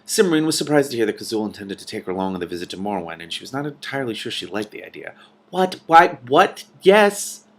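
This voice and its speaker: A man reading a novel aloud as host, with animated, casual reactions between passages. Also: on the low side, fast.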